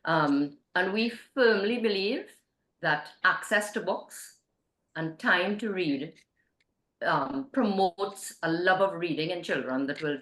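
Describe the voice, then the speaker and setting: A middle-aged woman, a teacher answering interview questions, hesitant and earnest with frequent pauses and restarts. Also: lowish voice, expressive, a bit bright, reverberant, rough recording. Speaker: literacy nonprofit co-founder, guest.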